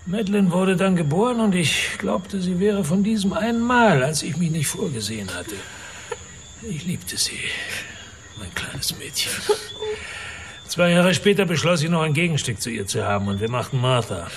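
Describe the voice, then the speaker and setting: A man's voice: a little high, animated, moderate pace, background noise. An actor playing a crude, boastful, middle-aged wealthy father in a radio drama, with a mocking, theatrical manner. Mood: confessing.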